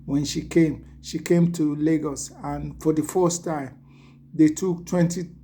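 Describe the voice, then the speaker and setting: A man's voice: a little high, fairly even intonation, measured pace, dark tone, slight background noise. A middle-aged man from Nigeria with a Nigerian accent, chatting casually to his viewers as he tells a story and often checks in with "you understand?". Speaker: vlogger.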